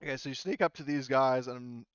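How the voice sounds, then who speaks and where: Male game master, smooth clear voice, informal halting narration, dry close sound. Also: mid-range voice, no room sound, highs cut off. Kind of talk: casual tabletop game narration.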